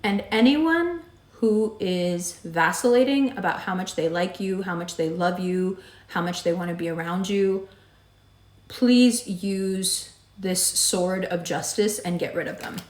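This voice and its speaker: A woman with a raspy voice giving a monthly horoscope reading, direct and emphatic, repeating her advice. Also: fairly low pitch, unhurried. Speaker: astrology reader. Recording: echoey room.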